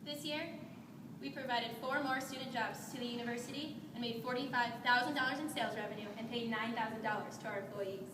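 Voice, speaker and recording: A young woman from Nebraska delivers her memorized lines quickly in a high voice. She is recorded from afar in an echoing hall. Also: fairly even intonation, recorded from a distance, background noise. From Nebraska, USA. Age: young adult. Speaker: student presenter. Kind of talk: rehearsed team presentation.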